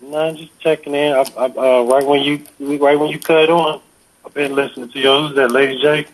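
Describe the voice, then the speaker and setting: A man's voice: fairly high pitch, fairly even intonation, normal speed, rough recording. A man calling in to a show for a casual chat. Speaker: caller.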